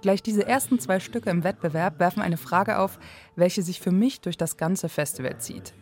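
A woman's voice: lowish voice, moderate pace, a little mellow, soft background music. A woman reporter reading scripted narration for a radio feature.

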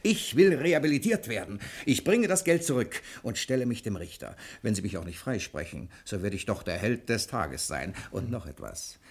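A man acting a confident, adventurous bookkeeper in a 1960 German radio drama, speaking briskly and persuasively with a commanding manner. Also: lowish voice, sing-song, husky, exaggerated voice. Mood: determined.

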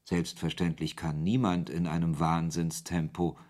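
An actor playing a wry author who narrates his story in a measured, literary way in a German radio play. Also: deep voice, flat delivery, a little mellow.

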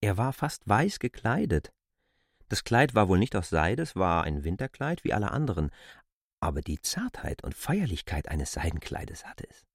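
Deep, husky male literary narrator. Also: big pitch swings, normal speed, no echo, studio quality.

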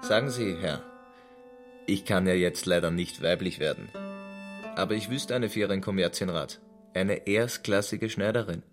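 An actor playing a wry, unemployed waiter, speaking politely and persuasively in colloquial phrases. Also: male voice, sits low, moderate pace, close to an everyday voice. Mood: polite persuasion. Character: unemployed waiter, wry, scheming but kindly. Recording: guitar music behind the voice. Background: plucked guitar music.

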